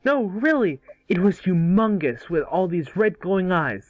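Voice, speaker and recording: A performer voicing a cocky, excitable ten-year-old boy hero with a boastful delivery in an amateur cartoon read. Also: mid-range voice, a little slow, dry recording, dull audio, soft background music. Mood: urgent, insistent. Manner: boastful, exclamatory.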